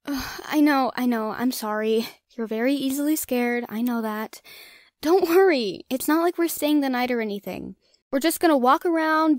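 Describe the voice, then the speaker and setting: A woman's voice: high voice, no room sound, clean studio sound, natural voice. A woman acting a cocky young ghost-hunting vlogger in an audio drama, brash and teasing. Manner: brash, teasing, talks herself up.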